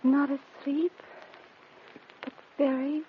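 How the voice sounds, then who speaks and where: An actress playing a frail dying woman in a hypnotic trance, weak, drowsy and halting, in a gothic radio drama. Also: sits high, level tone. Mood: weary, entranced. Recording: dull narrow phone-like radio sound, faint noise.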